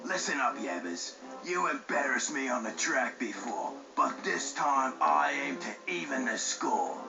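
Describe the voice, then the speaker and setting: A man's voice: mid-range voice, sing-song, a little slow, vocal fry. A man playing a menacing Australian racer villain in a video game, mocking and threatening in thick slang. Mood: taunting, menacing. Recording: dull game audio, music behind.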